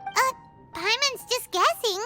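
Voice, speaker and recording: A woman voicing a tiny, excitable female fairy companion in a game cutscene, bubbly and fussy, talking about herself in the third person. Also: very high voice, takes it slowly, heavily acted. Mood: chatty. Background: background game music.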